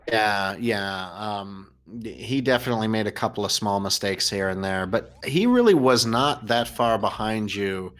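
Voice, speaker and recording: A man's voice: lowish voice, normal speed, hardly any pauses, a bit bright. An American man commentating and interviewing on a live gaming race in a casual, chatty, jokey way. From United States. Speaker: host and commentator.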